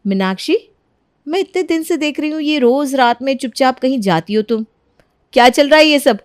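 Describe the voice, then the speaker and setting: A woman as a high-voiced scheming daughter-in-law. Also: animated, normal speed, dry recording, studio quality. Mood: suspicious, scolding. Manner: accusing, melodramatic, self-righteous. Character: scheming, quarrelsome young married daughter-in-law. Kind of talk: Hindi animated moral-story dramatization.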